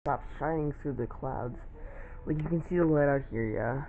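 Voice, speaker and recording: Tinny, faintly noisy phone-like audio: male eyewitness, mid-pitched, casual and halting. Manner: halting, informal.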